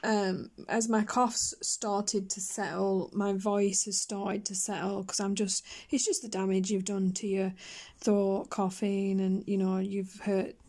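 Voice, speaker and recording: A woman chatting casually on her colouring channel, her voice hoarse and croaky after a chest infection, rambling with fillers and coughs. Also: mid-range voice, flat delivery, takes it slowly, a little mellow. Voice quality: husky. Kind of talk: casual chat while colouring.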